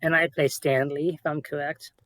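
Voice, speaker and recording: Man, somewhat high pitch, dry close sound, casual commentary guest, informal opinion-giving. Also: little pitch movement, moderate pace, fairly bright tone, no echo. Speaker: commentary guest and amateur voice actor.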